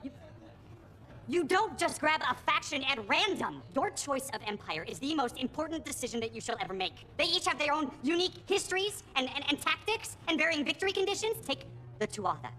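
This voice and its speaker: An earnest gaming enthusiast for a comedy film. The voice is bright and very animated, with a pompous, emphatic way of making every point sound grave. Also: female voice, on the low side, a little fast, pauses often, exaggerated voice. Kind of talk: scripted comedy film acting.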